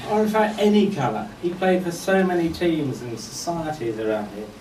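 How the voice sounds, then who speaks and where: A middle-aged man giving a eulogy as a speech of humorous anecdotes, told in a wry, unhurried way. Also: fairly high pitch, animated, darkish tone. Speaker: speaker giving a memorial tribute. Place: indoor function venue at a golf club, before an audience. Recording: echoey room, distant mic, faint noise.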